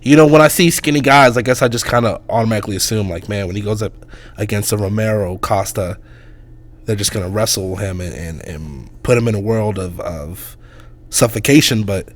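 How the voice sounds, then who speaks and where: Hoarse low-voiced man, laid-back podcast host. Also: brisk. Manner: relaxed, rambling with fillers. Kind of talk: casual sports chat.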